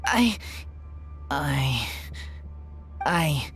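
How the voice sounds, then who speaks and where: A woman voicing a hesitant young companion in a game, speaking in a halting, soft-spoken way. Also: sits low, sing-song, exaggerated voice. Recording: music behind the voice.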